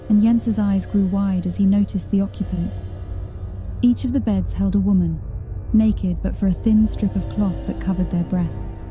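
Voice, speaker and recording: A woman's voice: mid-range voice, mellow. A woman reading a scripted horror story as an audiobook narrator, in a dramatic storytelling style.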